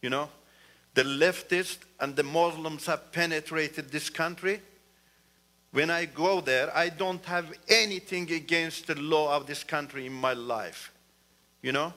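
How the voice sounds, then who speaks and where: Bright-voiced man. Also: fairly high pitch, unhurried. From Iran. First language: Turkish. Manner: earnest, repeats "you know", rises emphatically. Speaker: guest speaker. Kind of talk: testimony talk in church.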